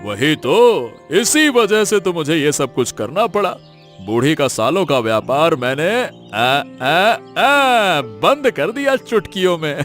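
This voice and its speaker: A man's voice: on the high side, fairly bright tone, full character voice. A man voicing a spiteful, boastful village businessman who gloats, in a Hindi moral-story cartoon.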